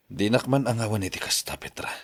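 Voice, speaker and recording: A man's voice: on the low side, lively intonation, fast talker, breathy edge, dry recording. A man with an Ilocano accent acting a stubborn, grumbling office worker recovering from a mild stroke in a radio drama.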